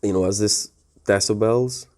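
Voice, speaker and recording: A man's voice: fairly low pitch, steady tone, moderate pace, a little mellow. A man giving a casual technical tutorial in an informal, plain-spoken, conversational way. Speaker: technical presenter explaining network setup.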